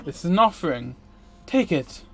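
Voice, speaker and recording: A man's voice: fairly high pitch, sing-song, normal speed, creaky, a bit bright, breathy edge, pitched above the performer's own voice, exaggerated voice. A man playing a theatrical, paranoid young mad scientist from a visual novel, with a pompous, taunting delivery. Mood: smug, pompous.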